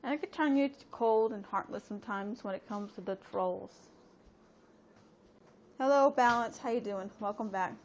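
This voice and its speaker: A woman's voice: medium pitch, moderate pace, slight background noise, low-quality recording. A woman in her late thirties chatting casually on a live stream, rambling and talking straight to her viewers with lots of fillers. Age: 30s. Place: at home.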